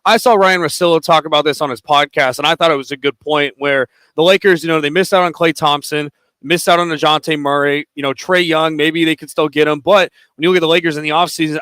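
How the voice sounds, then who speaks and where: A man's voice: a little high, bright, forceful tone, clean tone, no room sound. A man hosting a sports betting radio show, steadily setting up topics with odds and questions for his co-host over a studio microphone.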